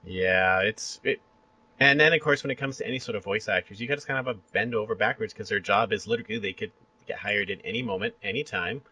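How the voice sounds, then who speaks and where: A man hosting a podcast interview, chatting casually and acting out quoted reactions in an animated way. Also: lowish voice, quick pace, husky, poor audio.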